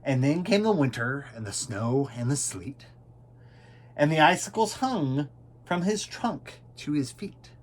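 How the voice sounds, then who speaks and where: Slow, very animated male storybook reader. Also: fairly high pitch. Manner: theatrical, dwelling on repeated words. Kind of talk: expressive children's story narration in rhyming verse.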